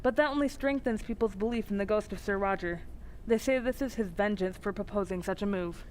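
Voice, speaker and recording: A steady, folksy sheriff, played by a woman speaks at a middle pitch. The delivery is calm radio drama acting over faint noise. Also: brisk, close to an everyday voice, rough recording. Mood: matter-of-fact. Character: steady, reassuring small-town sheriff.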